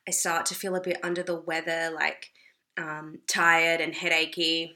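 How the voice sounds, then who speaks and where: A young Australian woman talks to camera in a loose, rambling way, peppering her speech with "like" and "you know". Her voice sits a little low for a woman yet sounds bright and forceful, with a slight hoarseness from a cold. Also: monotone, moderate pace. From South Australia. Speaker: vlogger. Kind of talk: casual talk to camera.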